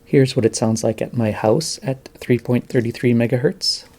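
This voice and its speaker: Loud hiss and clicks sit with a man's medium-pitched voice. He narrates his own amateur recordings plainly, in brief matter-of-fact phrases. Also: steady tone, normal speed. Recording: very noisy, radio hiss and clicks.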